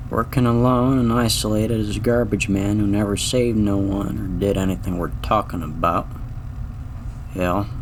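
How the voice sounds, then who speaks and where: A man's voice: on the low side, slight background noise. A man playing a lonely, wry, weary space garbage man in an audio drama, rambling in a folksy, reflective way.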